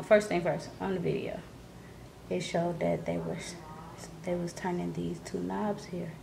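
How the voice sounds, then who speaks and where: A woman's voice: on the low side, vocal fry. A woman in her thirties or forties keeping her voice down as she gives a casual vlog-style product demonstration from her living room. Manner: hushed, keeping voice down. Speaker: vlogger. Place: living room at home, indoors. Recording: noisy home camera recording.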